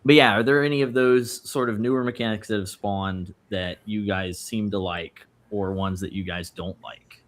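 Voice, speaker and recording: A man's voice: on the low side, fairly even intonation, moderate pace, hardly any pauses, slight background noise. A man in his twenties chatting casually as a participant on a streamed panel. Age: 20s. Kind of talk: casual chat.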